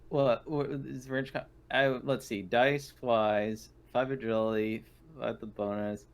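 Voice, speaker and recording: A man's voice: mid-range voice, flat delivery, measured pace. A man chatting casually as a player in a tabletop roleplaying game.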